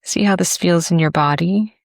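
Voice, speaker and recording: A woman leads a guided body scan with a low voice that has a creaky edge. She speaks gently and leaves long pauses between phrases. The sound is close and dry. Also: no room sound. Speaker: yoga and meditation teacher.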